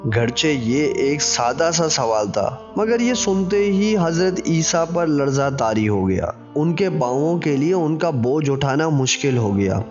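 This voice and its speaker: A man's voice: fairly high pitch, sing-song, a little slow, few pauses, darkish tone. A man reading a religious novel as scripted narration, with a formal literary delivery. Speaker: audiobook narrator. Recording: dull lo-fi recording with music behind. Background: background music.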